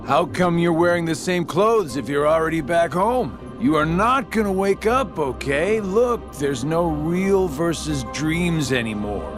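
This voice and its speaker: A taunting middle-aged game villain who toys with his listener in a sardonic drawl. His delivery is slow and theatrical, swinging widely in pitch. Game soundtrack music plays behind him. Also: lively intonation. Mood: mocking, menacing. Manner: sardonic drawl, mockingly playful. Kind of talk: theatrical video game cutscene acting.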